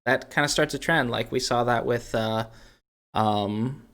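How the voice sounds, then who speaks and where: A man's voice: mid-range voice, a little slow, fairly bright tone. A man hosting a podcast, chatting casually about games and thinking aloud in a rambling way. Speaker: podcast host.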